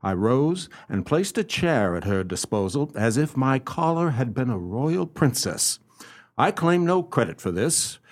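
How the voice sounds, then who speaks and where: Radio drama: courtly, self-satisfied male sleuth, played by an actor, formal and pompous, very animated pitch. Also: a little slow. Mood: smug narrating. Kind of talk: radio play acting with first-person narration.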